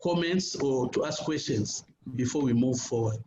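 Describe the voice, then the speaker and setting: A man moderating a webinar in a polite, measured way with frequent fillers. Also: medium pitch. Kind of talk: chairing a webinar. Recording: echoey room with background noise.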